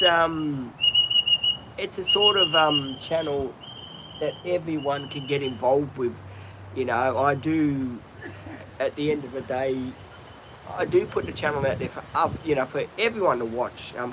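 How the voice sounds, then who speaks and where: A man's voice: fairly high pitch, normal speed, background noise. An Australian man hosting a casual live stream, chatting in a rambling way with frequent ums and restarts. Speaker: livestream host. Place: outdoors, birds in trees nearby.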